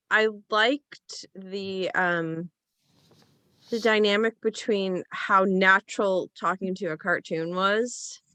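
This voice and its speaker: A woman's voice: mid-range voice, sharp tone, no echo. A woman giving casual feedback as a panel actor, hesitant and groping for her words. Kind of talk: casual feedback over a video call.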